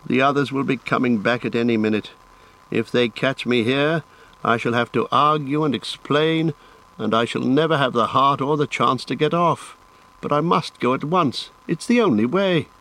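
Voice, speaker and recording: A man voicing a gentle, resolute hobbit gentleman for an audiobook, well-spoken and earnest, chiding yet affectionate. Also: mid-range voice, normal speed, natural voice, poor audio. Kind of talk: audiobook character voice.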